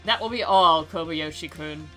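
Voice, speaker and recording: A woman acts out an elderly male principal from a visual novel, in his seventies. He is cold and excessively courteous, lecturing with stiff formality and a forceful edge. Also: low voice, moderate pace, faint music behind, low-quality recording. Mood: cold, polite. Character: stern, cloyingly polite, cold old male school principal.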